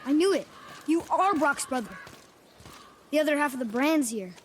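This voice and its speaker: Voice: high-pitched, animated, moderate pace, slight background noise. An actor playing a curious, eager young boy in a video game, talking in an earnest, inquisitive, casual way. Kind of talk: dramatic video game acting.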